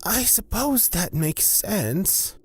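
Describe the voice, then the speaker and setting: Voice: medium pitch, big pitch swings, slow pace, breathy edge, full character voice. A performer playing a nervous, courteous young cleric and blood witch, talking in a flustered, polite way in a fantasy audio drama.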